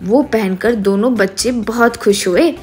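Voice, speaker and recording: Woman narrator reading a kids style story, high voice, light music behind. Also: measured pace, darkish tone, husky.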